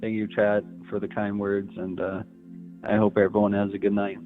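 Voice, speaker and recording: A man calling into a livestream, soft-spoken and hesitant, stammering a little as he talks. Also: lowish voice, monotone, moderate pace, faint music behind. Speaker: caller. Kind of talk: casual call-in chat. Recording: tinny phone-like call audio.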